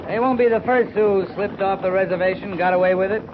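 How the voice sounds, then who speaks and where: An actor playing a tight-lipped cavalry captain who gives curt orders, in 1950s radio drama style. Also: male voice, sits high, steady tone, fairly quick, close to an everyday voice. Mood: weary. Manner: clipped, curt commands. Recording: muffled 1950s radio broadcast with hiss.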